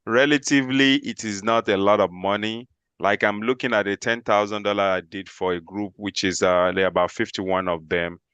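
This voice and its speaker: Smooth, deep-voiced man explaining. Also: steady tone, normal speed, fairly bright tone, dry recording. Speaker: insurance agent. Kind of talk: informal explanation in a meeting. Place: remote online group call.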